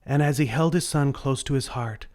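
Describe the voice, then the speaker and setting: A man narrating a scripted children's story in a deliberate read-aloud manner. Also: mid-range voice, darkish tone.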